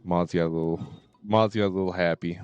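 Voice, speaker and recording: A man with a low, smooth voice chats casually on a live stream. He speaks haltingly and repeats himself, with faint music in the background. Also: fairly even intonation, a little mellow, dull audio. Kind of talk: casual chat to stream viewers.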